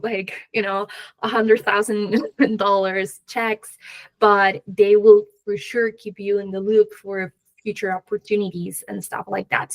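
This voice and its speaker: Peruvian woman doing an expert interview, slow and halting, chatty, little laughs mid sentence. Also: mid-range voice. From Peru. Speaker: guest expert, venture capital professional.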